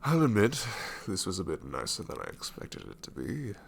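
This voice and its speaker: A man's voice: huge pitch swings, normal speed, a little hiss or hum, heavily acted. A man playing an ancient, sassy shadow demon in an ASMR roleplay, wry and world-weary but tenderly reassuring, often slipping into a whisper. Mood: grudgingly sweet. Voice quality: very deep, gravelly, often breaking into whisper.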